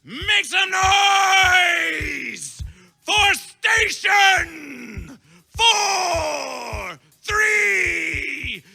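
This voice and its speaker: A man's voice: very high-pitched, big pitch swings, extremely slow, vocal fry, sharp tone, shouting. A man working as a festival master of ceremonies, announcing in a grandiose, dramatic town-crier manner and stretching out key words. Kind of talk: theatrical stage announcing, chanted band introductions. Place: live festival stage. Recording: live stage sound, faint music behind.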